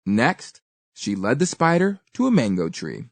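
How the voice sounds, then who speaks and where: A woman reading a children's story aloud with expressive, deliberate and dramatic emphasis. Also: a bass voice, slightly rough, no echo.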